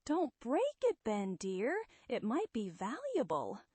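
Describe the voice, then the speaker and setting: Gentle young heroine, acted by a woman: affectionate, soft-spoken, lilting high voice, comic-book story. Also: wildly swooping pitch, slow pace, husky, dry recording, heavily acted. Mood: concerned.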